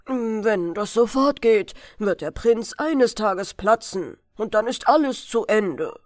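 A woman's voice: medium pitch, animated, takes it slowly, dry recording, clean studio sound, soft background music. A woman voicing an earnest male royal physician, grave and insistent, in a fairy-tale audiobook. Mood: alarmed.

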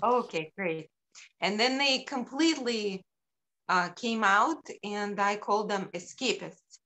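A middle-aged woman from Ukraine presenting her sculptures over a video call, unhurried and halting with frequent ums. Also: medium pitch, sharp tone.

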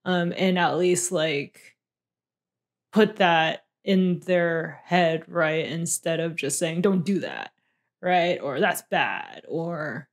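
A woman's voice: lowish voice, slow. Young woman, casual podcast chat, easygoing, laughs often, small room, mic on a stand. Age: young adult. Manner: relaxed, reflective, laughs often. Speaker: podcast co-host. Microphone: boom mic on stand.

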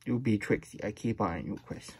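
A man's voice: on the low side, normal speed, mellow, husky. A man voicing a silly, childlike plush hedgehog who reads storybooks aloud in babyish broken grammar and gets easily flustered. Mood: playful, suspicious. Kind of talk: playful storybook read-aloud.

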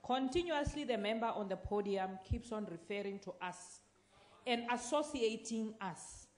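Woman, insistent, high-ish voice, roomy parliamentary chamber. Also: normal speed, a little mellow. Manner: insistent, demanding a ruling. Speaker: member of parliament raising a point of order. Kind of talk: heated point of order in a debating chamber. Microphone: desk microphone held in hand.

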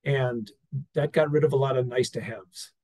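A man presenting an experience report over slides on a video call, talking in a relaxed, wry way with fillers and restarts. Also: mid-range voice, normal speed. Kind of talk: conversational talk over slides.

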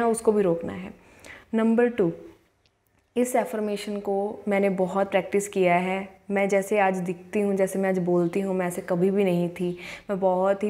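A young woman who works as a law of attraction coach, giving a motivational talk to camera in an earnest way and slowly repeating affirmations. She speaks indoors into a lapel mic. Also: medium pitch, mellow. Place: indoors, in front of a plain wall. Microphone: clip-on lapel mic.

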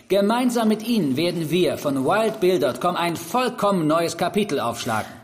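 Casting: zealous online reporter, high lively voice, insistent speechmaker who stumbles under pressure, audio drama. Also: male voice, normal speed. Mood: fervent, preachy. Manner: insistent, speechifying, stammers when challenged. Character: earnest, combative male internet reporter and conspiracy crusader. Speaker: talk show guest. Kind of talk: audio drama acting as a TV interview guest.

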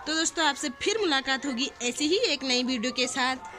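A woman's voice: high-pitched, brisk, pushed and bright, faint music behind. Young woman vlogging on a rooftop. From hills of northern India. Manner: chatty, homely, addresses viewers as friends. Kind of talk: casual vlog talk to camera. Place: rooftop outdoors in hill town. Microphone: phone camera at arm's length.

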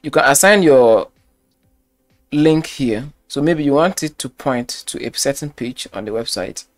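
Man, tutorial narrator, unhurried delivery, faint music underneath, methodical and repetitive. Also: mid-range voice, animated. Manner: slow step-by-step instruction, repeats phrases. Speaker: web design tutor. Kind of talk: screen-recorded software tutorial.

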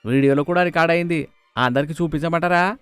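A man's voice: a little high, fast talker, a bit bright, clear, resonant tone, dry recording, soft background music, pitched above the performer's own voice, lightly acted. An outspoken male servant from a cartoon moral tale, put on by a male narrator. He is folksy, sarcastic and loyal, taunting his betters. The sound is dry and close. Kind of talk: animated moral story dialogue.

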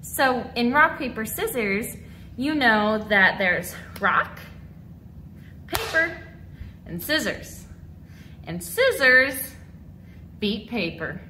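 A woman in her thirties teaching a dance lesson to children, with an animated, encouraging, clearly enunciated delivery. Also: on the high side, slow pace, long pauses, a little hiss or hum. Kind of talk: instructional video lesson for children. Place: large mirrored dance studio indoors.

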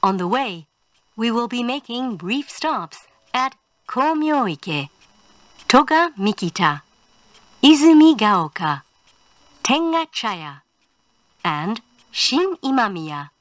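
A woman giving a scripted train announcement in a formal, measured manner. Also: a little high, very animated, dry recording. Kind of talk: scripted English in-car announcement.

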